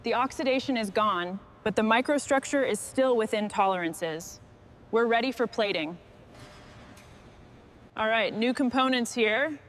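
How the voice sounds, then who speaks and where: A woman's voice: a little high, unhurried, pauses often, slight background noise. A woman giving short scripted instructions and remarks as a mechanic on a restoration job.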